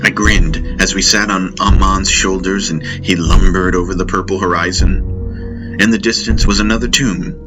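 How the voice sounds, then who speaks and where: A man reading scripted fantasy narration as an audiobook narrator, in a deliberate, theatrical way. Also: deep voice, fairly even intonation, poor audio. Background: music.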